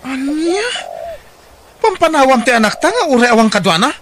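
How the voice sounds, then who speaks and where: A man's voice: extremely high pitch, big pitch swings, moderate pace, noisy background. A man with an Ilocano accent playing a harsh, hot-tempered husband and father in a radio drama, scolding and emphatic. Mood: angry, scolding.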